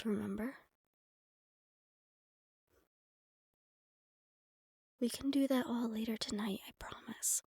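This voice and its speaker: A woman's voice: on the high side, creaky, a little mellow, slightly rough, dry recording, heavily acted. Woman acting as a poised, slightly anxious mafia second-in-command, coaxing, audio roleplay. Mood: hushed, flirty. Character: poised, anxious female right-hand assistant to mafia boss.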